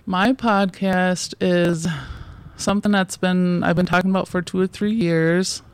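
A woman's voice: lowish voice, fairly even intonation, unhurried, smooth. A woman in her forties, a guest in a casual interview, talking in loose, rambling sentences full of fillers and restarts and often laughing between thoughts.

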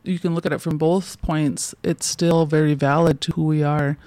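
A woman's voice: low-pitched, little pitch movement, normal speed, dark tone, no echo. A woman in her forties, a guest in a casual interview, talking in loose, rambling sentences full of fillers and restarts and often laughing between thoughts.

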